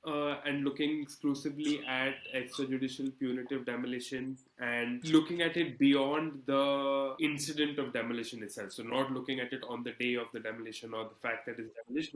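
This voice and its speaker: A man's voice: mid-range voice, a bit bright. A man in an interview explaining his work as an editor in an articulate, self-deprecating, conversational way. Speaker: politics editor being interviewed. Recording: echoey, roomy sound.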